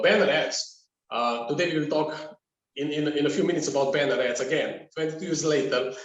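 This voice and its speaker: A middle-aged man with a Slovenian accent, answering casually as a podcast guest, often halting and repeating words. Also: fairly high pitch. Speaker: podcast guest, agency founder. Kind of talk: casual conversational interview answer. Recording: echoey room, distant from mic.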